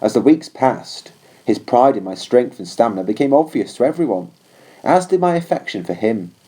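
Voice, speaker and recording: A man's voice: mid-range voice, lively intonation, moderate pace, warm tone, husky, rough recording. A man reading a scripted story aloud as narrator of an audiobook. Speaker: audiobook reader.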